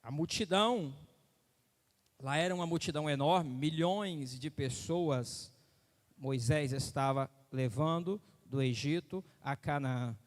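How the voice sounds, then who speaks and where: A pastor preaches with emphatic repetition, pressing his listeners again and again to pay attention. His voice sits a little high for a man. It rings out in a hall with a long reverb trailing after each phrase. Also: takes it slowly. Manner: emphatic preaching, repeating phrases, asking the crowd if they follow. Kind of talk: sermon.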